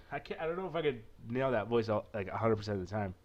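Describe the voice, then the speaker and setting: A man's voice: on the low side, lively intonation, fast, a little mellow, highs cut off. A man narrating a visual novel playthrough, with an informal, self-correcting manner and casual asides. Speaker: let's play narrator.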